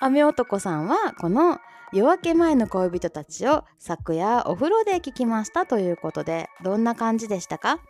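Female livestream host. Chatty, giggly announcements with highly varied pitch over a faint music bed. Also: big pitch swings, moderate pace, hardly any pauses. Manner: bubbly, giggly, reacts to listener comments. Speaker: host of a short audio livestream, amateur voice-drama club member. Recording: soft music bed under voice. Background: soft background music.

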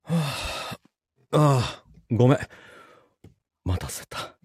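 A man acting in a Japanese voice drama as an evasive, apologetic boyfriend with a Kansai accent and a husky voice, stammering and hesitant. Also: mid-range voice, big pitch swings, drawn-out words, a little mellow, dry recording, studio quality, heavily acted. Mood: flustered. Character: evasive, apologetic boyfriend, busy office worker with a secret.

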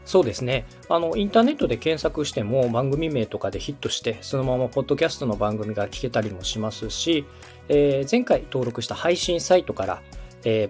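A man in his thirties hosts a podcast with a mid-range voice that moves up and down freely. He explains point by point in polite speech, checking in with his listener, while music plays softly behind him. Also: medium pitch. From Osaka, Japan. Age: 30s. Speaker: podcast host and radio director. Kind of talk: explanatory podcast talk to a co-host.

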